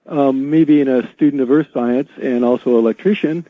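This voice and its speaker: A man's voice: mid-range voice, fairly even intonation, a little fast, no echo. A man phoning a radio show with a question, hesitant and earnest, on a thin telephone line. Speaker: radio phone-in caller. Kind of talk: asking a question on air. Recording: thin, narrow phone line.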